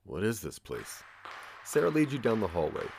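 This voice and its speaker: A man's voice: fairly low pitch, very animated, normal speed, gravelly, background noise. A man narrating a scripted second-person story for an audio drama, with a dramatic storytelling manner.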